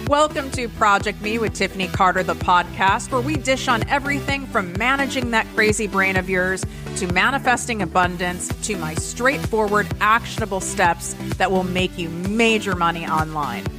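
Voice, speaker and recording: A woman hosting her own podcast, talking frankly and with self-deprecating humor in an energetic solo monologue. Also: mid-range voice, moderate pace, few pauses, music in the background. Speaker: podcast host.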